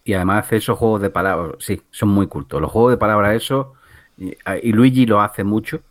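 A man's voice: lowish voice, brisk, no echo. A man chatting casually, interjecting with assertive opinions. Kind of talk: casual chat.

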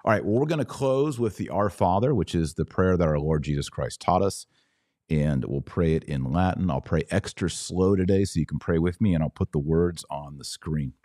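A man's voice: low-pitched, normal speed, a little mellow, no room sound, clean studio sound. A man hosting a religious podcast, talking casually and instructively straight to his online audience. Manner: conversational, didactic, addresses listeners directly. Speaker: podcast host.

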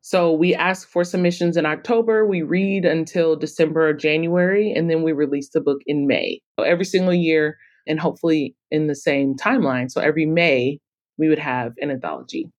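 A woman's voice: sits low, fairly even intonation, brisk, dull audio. A woman chatting casually as a podcast guest, explaining things in a rambling, emphatic way. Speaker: podcast guest, author and publisher. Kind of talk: casual interview chat.

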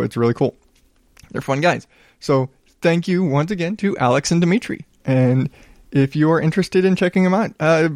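A man in his thirties hosting his own podcast, rambling casually in a calm, smooth voice and poking fun at himself. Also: medium pitch, dry recording, clean studio sound. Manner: rambling, self-deprecating, reads reviews aloud. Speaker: podcast host. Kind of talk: casual solo podcast monologue.

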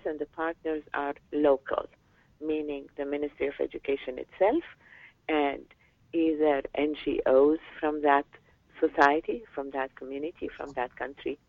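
A woman from Lebanon, an education advocate, answering interview questions in a measured way with pauses and fillers. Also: deep voice, no echo. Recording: tinny phone line, dry.

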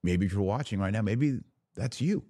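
A man's voice: fairly low pitch, animated, normal speed, mellow, no echo, clean studio sound. A man hosting a podcast, delivering a fervent religious monologue in an emphatic, exhorting manner with rhetorical questions and repetition. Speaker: podcast host.

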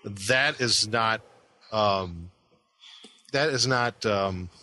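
A man co-hosting a podcast, talking casually with sarcasm and halting fillers. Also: on the low side, bright, forceful tone, slight background noise. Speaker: podcast co-host.

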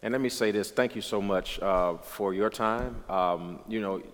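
A pastor in his late thirties speaks with a low voice and an emphatic, preacherly delivery. The sound rings with a long reverb. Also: a little slow. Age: 30s. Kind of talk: conversational dialogue, preaching.

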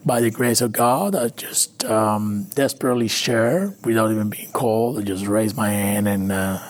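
A man from Venezuela, a native Spanish speaker with a Latin American accent, telling his recovery story haltingly in an interview. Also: on the low side. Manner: halting, with frequent restarts and fillers. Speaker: interview guest. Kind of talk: personal recovery story, conversational.

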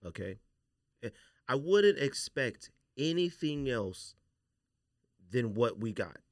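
Man, podcast host, slangy casual debate, highly expressive intonation, dry studio sound. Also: slow, dry recording. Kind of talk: casual sneaker and sports banter.

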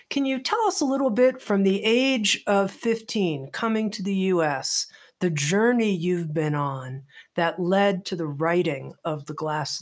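A woman host reading a scripted introduction of her guest and then asking an opening question in a measured, deliberate way. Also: fairly low pitch. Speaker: podcast host. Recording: faint music underneath.

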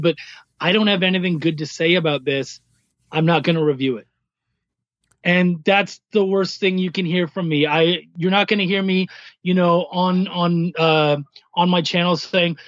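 A man from Canada with a notably high voice, heard over a dull, dry call-in line. He talks casually and chattily as an interview guest, informal and a touch self-effacing. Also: fairly bright tone, no room sound. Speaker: podcast guest, comic writer and editor. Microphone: remote call-in.

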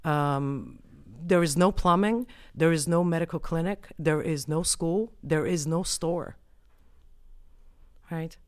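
A woman from the United States speaks as an interview guest, laying out her research in a measured, explanatory way. Her voice is smooth and clear. She is recorded dry and close, with no room sound. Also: low-pitched, a little mellow, no echo. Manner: measured, explanatory, frequent um and right. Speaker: anthropologist guest. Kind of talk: academic interview answers.

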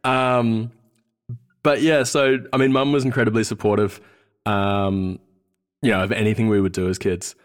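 A man's voice: lowish voice, level tone, moderate pace, clear, resonant tone, faint music behind. An Australian man in his thirties chatting casually as a podcast guest, hesitant and searching for his words. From Australia, born in New Zealand. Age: 30s. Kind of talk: casual interview chat.

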